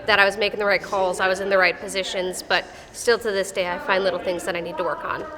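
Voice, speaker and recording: A woman's voice: mid-range voice, little pitch movement, quick pace. Brief: woman, thirties, Midwestern accent with a touch of Texas drawl, friendly and matter-of-fact, interviewed in an echoey arena. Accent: Midwestern American with a slight Texas drawl. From Wisconsin, USA. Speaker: wrestling official. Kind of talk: casual interview answers. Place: wrestling tournament arena. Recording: echoey arena with crowd noise.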